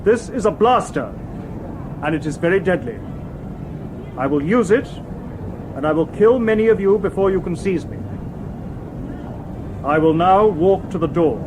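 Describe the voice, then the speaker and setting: A formal robot detective, noisy recording. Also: male voice, sits high, expressive. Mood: commanding. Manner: formal, precise, measured, unemotional wording. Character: calm, courteous humanlike male robot detective. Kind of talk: old-time radio drama acting. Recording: noisy old radio drama recording.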